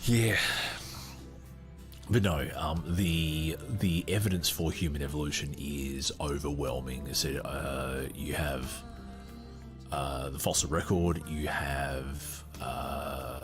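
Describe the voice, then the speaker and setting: Deep-voiced man riffing with laughter. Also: slow pace, over music. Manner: animated, laughs often, many fillers and restarts. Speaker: podcast host. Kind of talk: reading a book aloud with commentary.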